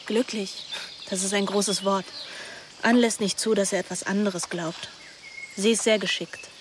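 A woman's voice: mid-range voice, fairly even intonation, measured pace, natural voice. A woman playing a brooding teenage girl, noisy background. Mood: urgent, persuasive. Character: scheming, anguished teenage girl narrating her story. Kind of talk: radio drama with introspective first-person narration.

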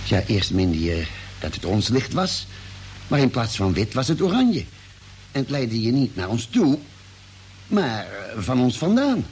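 An actor playing a probing, concerned ship's doctor in a space radio play, with inquisitive, hesitant phrasing and musing pauses. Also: male voice, medium pitch, sing-song, darkish tone. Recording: noisy, humming old radio drama recording.

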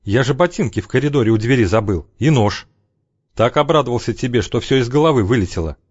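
A man voicing a calm, wounded young man who talks steadily and conciliatorily. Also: medium pitch, fast talker, no room sound, dull audio, close to an everyday voice.

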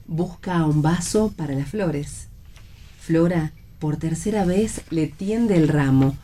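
A woman narrator reads stage directions from a play. Her voice sits on the low side and is soft-edged and warm in tone. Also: takes it slowly.

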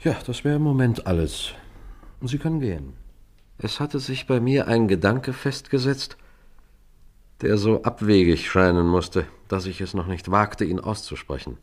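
Police sergeant: lowish pitch, formal and businesslike, exact phrasing, for a radio detective play. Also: male voice, lively intonation, measured pace. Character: Scotland Yard police sergeant narrating his investigation, methodical and businesslike. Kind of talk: German radio crime drama, narration and dialogue.

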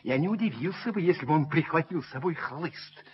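A man playing a prim, pompous gentleman in a radio drama, moralising with theatrical indignation. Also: a little high, animated, fairly quick, close to an everyday voice. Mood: huffy, pompous. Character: pompous, prim gentleman scolding his friend. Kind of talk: Soviet radio drama acting. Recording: dull, noisy vintage radio-play tape.

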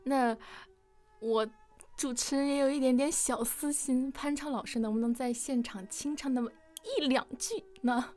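Higher-voiced female host, faint music behind. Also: steady tone, moderate pace, a little mellow. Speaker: livestream host. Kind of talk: casual hosting chat. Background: faint background music.

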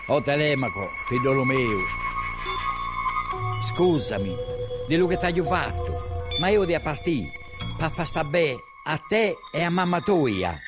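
A man's voice: a little high, big pitch swings, a little slow, pauses often. A man playing a remorseful wandering father with a Sardinian accent, pleading and declaiming in dialect in an audio drama. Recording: music behind the voice.

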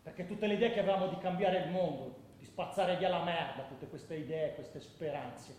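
A man plays a combative, principled male football fan in a radio drama. He speaks high for a man, blunt and emphatic, in a very reverberant space with faint noise. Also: normal speed, darkish tone, lightly acted. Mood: bitter. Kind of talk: dramatised first-person monologue, radio play. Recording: very echoey room with background noise.

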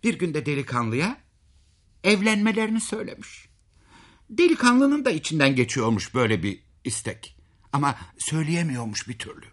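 Guy narrating a fairytale, super expressive, folksy little asides, bit raspy, mid pitch. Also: moderate pace. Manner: dramatic, folksy, with interjections to the listener. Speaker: storyteller.

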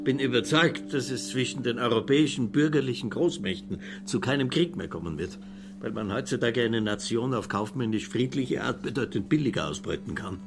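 Radio play role: male actor as pompous, sentimental older Austrian intellectual, slightly drunk, medium pitch, clear music underneath. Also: lively intonation, fairly quick, natural voice. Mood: pompous, declamatory. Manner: pompous, declamatory, tipsy. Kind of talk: radio drama dialogue.

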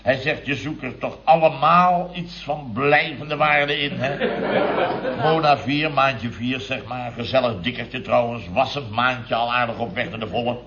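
An actor playing a jovial, blustering building-firm boss in a radio comedy, telling stories with sarcastic grumbles and asides. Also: male voice, mid-range voice, unhurried, crowd noise, natural voice. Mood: jovial storytelling. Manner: sarcastic, tags sentences with chuckling asides. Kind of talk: 1970s Dutch radio comedy acting. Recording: dull vintage radio recording with audience.

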